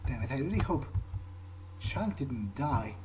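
A man's voice: medium pitch, very animated, unhurried, a little mellow, a little hiss or hum. A man hosting a gaming video, improvising in character and thinking aloud in hesitant, musing fragments. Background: game music.

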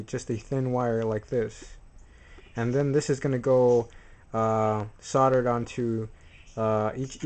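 A man's voice: mid-range voice, darkish tone. A man narrating an informal hands-on tutorial in a plain-spoken, slightly hesitant, self-deprecating way. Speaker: DIY tutorial presenter. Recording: noisy amateur camera audio.

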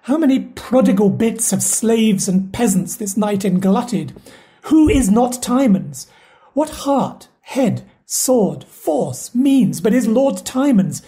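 A woman playing a loyal, sorrowful household steward in a Shakespeare audio play, speaking the verse in an earnest, measured way. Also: a little high, very animated, dark tone. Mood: lamenting.

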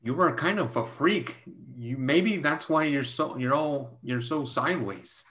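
A man reading the part of a gentle young Chinese mathematician and tutor in a staged play reading, a little haltingly. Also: fairly high pitch, lively intonation. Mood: earnest. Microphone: video call.

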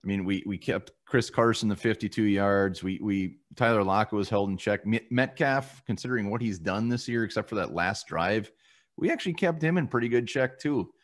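A man's voice: fairly low pitch, normal speed. A man co-hosting a casual postgame sports show from a home room over a video call, blunt and casually swearing as he runs through stats. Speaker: co-host of a sports fan show.

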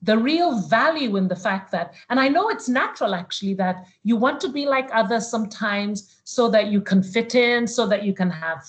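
A middle-aged woman with a slightly high voice speaks to young people on an online call. She is from Uganda and talks as a motivational storyteller, repeating words to drive them home. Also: expressive, moderate pace, hardly any pauses. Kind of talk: webinar talk to young people.

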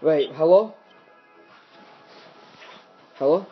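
Higher-pitched young man, taunting and slangy. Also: very slow, dull audio, faint music behind. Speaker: prank caller. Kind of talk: casual prank call banter.

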